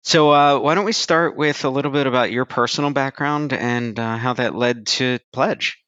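A man's voice: mid-range voice, moderate pace, fairly bright tone, clean tone, no echo, highs cut off. A man hosting a podcast, introducing the episode and asking upbeat, conversational questions. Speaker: podcast host.

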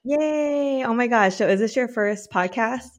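A woman's voice: a little high, measured pace. A woman hosting a podcast and interviewing a guest in a bubbly, enthusiastic way. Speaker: podcast host.

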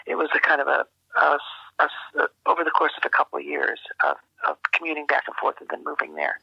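An older woman giving a reflective interview, speaking thoughtfully and haltingly with careful phrasing. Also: deep voice, fairly even intonation, vocal fry, breathy edge, no echo, lo-fi sound. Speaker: interview guest, journalist and author.

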